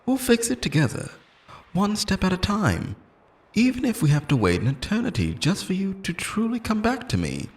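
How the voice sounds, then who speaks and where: A man's voice: sits high, wildly swooping pitch, moderate pace, exaggerated voice. A man playing an obsessive, lonely immortal lover in an intimate, theatrical roleplay monologue, pleading and possessive, moving between tenderness and menace. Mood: coaxing, menacing.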